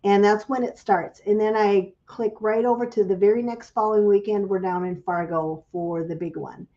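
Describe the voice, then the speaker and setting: A woman chats casually on a livestream, greeting viewers by name. Her voice is mellow and a little raspy from a cold. The stream audio sounds slightly dull and lo-fi. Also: medium pitch, steady tone, normal speed. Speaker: craft business owner hosting a livestream.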